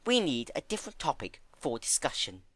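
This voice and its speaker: A man voicing a gentle, motherly female pony with an earnest manner, in a dramatic storybook reading. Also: medium pitch, wildly swooping pitch, fairly quick, pitched above the performer's own voice. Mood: stern, cross. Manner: soft-spoken, hesitant, earnest. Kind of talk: dramatic fan fiction reading.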